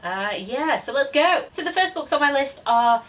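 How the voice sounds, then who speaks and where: Bright-voiced young woman chatting, slightly distant mic. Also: high-pitched, lively intonation, normal speed. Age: 20s. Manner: self-deprecating, chatty. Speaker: book vlogger. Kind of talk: casual chat to camera.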